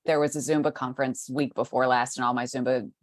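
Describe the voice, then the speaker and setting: A woman's voice: very deep voice, steady tone, fast. A woman co-hosting a podcast in casual chat, listening closely and backing her partner up with short agreements. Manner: supportive listener, gives frequent short agreements. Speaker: podcast co-host.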